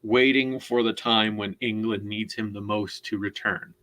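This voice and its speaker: A man co-hosting a casual literary discussion, explaining things in a halting, deliberate way and often repeating words. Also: on the low side, fairly even intonation, a bit bright. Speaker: co-host, literary commentator.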